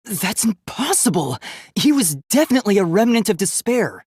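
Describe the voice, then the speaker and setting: A man's voice: high-pitched, big pitch swings, moderate pace, darkish tone, breathy edge, no echo, clean studio sound, heavily acted. A man playing an earnest young male robot student in a video game, speaking in a precise, polite and formal way. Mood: insistent.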